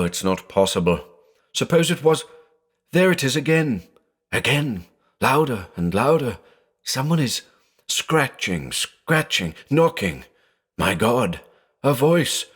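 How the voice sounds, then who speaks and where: A man's voice: sits low, full character voice. A man voicing a terrified blind man alone at night, frantic and pleading, breaking into shrieks. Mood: mounting dread.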